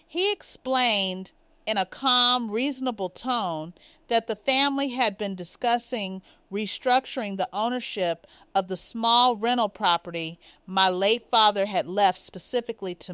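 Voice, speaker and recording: Clear, smooth woman narrating a personal story. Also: mid-range voice, fairly bright tone, dry recording. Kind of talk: scripted first-person confessional narration.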